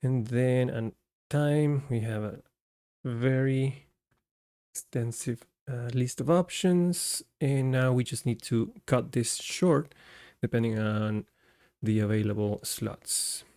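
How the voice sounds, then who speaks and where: Man from Costa Rica, live coding host, slow, even-pitched delivery, narrating his steps aloud. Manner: talks through code as he works, chatty with viewers.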